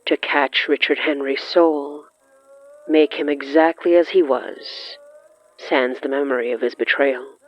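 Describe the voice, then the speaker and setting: A narrator reading scripted horror fiction in the third person, brooding and dramatic, with lingering pauses. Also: mid-range voice, steady tone. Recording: muffled lo-fi sound with music underneath. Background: music.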